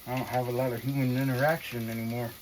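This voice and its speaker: A woman's voice: extremely low pitch, level tone, fast, darkish tone, a little hiss or hum, low-quality recording. A woman talking casually to viewers in an earnest, reflective monologue, with slangy, profane phrasing. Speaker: vlogger.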